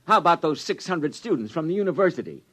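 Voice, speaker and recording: A man's voice: on the high side, big pitch swings, fast, a bit bright. An actor playing a self-assured male doctor who brushes off objections in a 1950s radio drama. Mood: placating. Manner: placating, breezy.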